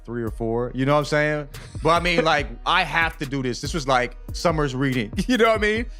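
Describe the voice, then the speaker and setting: A man's voice: a little high, lively intonation, fairly quick, a bit bright, faint music behind. A man co-hosting a podcast in a loose, slangy conversational style, swearing freely and often checking in with his listener. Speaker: podcast co-host. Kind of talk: casual conversational podcast discussion.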